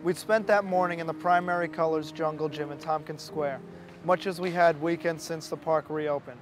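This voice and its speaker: A man with a fairly high voice reads a memoir from a script. Music plays clearly underneath the narration. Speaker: reader of a memoir. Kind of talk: scripted narration, audiobook reading.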